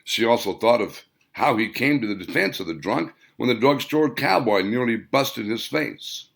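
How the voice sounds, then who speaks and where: A man's voice: medium pitch, lively intonation, normal speed, creaky, fairly bright tone. A man reading his own novel aloud as scripted audiobook narration, with dramatic, emphatic phrasing.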